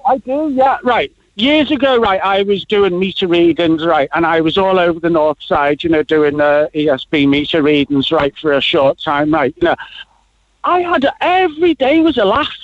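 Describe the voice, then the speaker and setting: A man rambles cheerfully on a radio call, his voice sitting high for a man. The line sounds dull and dry, without any room echo. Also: normal speed. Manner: rambling, chatty, full of "you know" and "right". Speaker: caller. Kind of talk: phone-in call.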